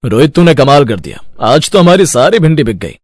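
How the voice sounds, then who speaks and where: Man acting an expressive roadside eatery boss. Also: on the high side, brisk, dry recording, studio quality. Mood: amazed, delighted. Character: approving dhaba owner, the young cook's boss. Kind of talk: Hindi moral cartoon story acting.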